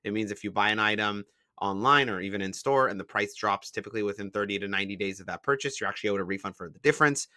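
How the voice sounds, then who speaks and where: A man from Israel and the Bay Area tells his startup story in a relaxed interview, leaning on "like" as he explains. His voice is low and bright, with a forceful edge. The recording is dry and close. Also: rapid-fire, no room sound. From Israel and the San Francisco Bay Area. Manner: explains things with examples, frequent "like" fillers. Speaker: startup founder, guest.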